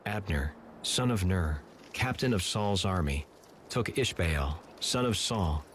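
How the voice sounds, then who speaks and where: A man narrating scripture from a script in a measured, solemn manner. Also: sits low, animated, fairly bright tone, a little hiss or hum. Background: music.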